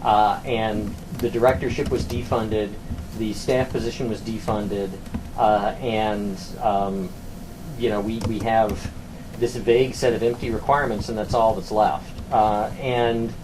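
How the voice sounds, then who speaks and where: Halting male academic on a noisy call. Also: on the low side, fairly even intonation. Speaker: university academic, former program director. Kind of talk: work discussion on a conference call. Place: conference call. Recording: conference call with clear background noise.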